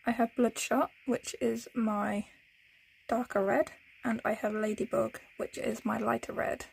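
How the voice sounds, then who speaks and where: Soft, gravelly-voiced woman narrating her colouring. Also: fairly high pitch, flat delivery, measured pace, a little hiss or hum, low-quality recording. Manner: soft-spoken, musing, often drops to a whisper; talks to herself as she works. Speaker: colouring channel host. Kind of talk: casual narration while colouring.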